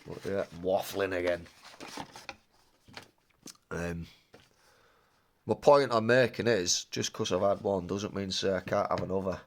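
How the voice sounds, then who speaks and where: A man's voice: deep voice, measured pace, long pauses, slight background noise. Chatty man with a cold. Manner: chatty, rambling, excitable. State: slightly bunged up with a cold. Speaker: card-opening vlogger. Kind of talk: casual unboxing chat.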